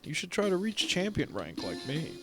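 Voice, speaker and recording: A man's voice: fairly high pitch, huge pitch swings, normal speed, a bit bright, soft background music, exaggerated voice. A man reading as an eager teen girl champion.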